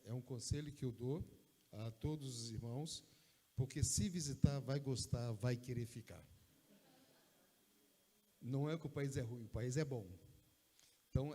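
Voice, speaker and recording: A man from Brazil with a Brazilian Portuguese accent, preaching a sermon in a conversational way, often tossing in quick asides to check the congregation is with him. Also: medium pitch, dark tone. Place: church sanctuary. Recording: long church hall reverb.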